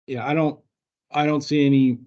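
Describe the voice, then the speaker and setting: Male company trainer, mellow tone, halting explanatory delivery, informal Q&A, dull lo-fi meeting sound. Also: a little high, little pitch movement. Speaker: company trainer answering staff questions. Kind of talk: informal work training discussion.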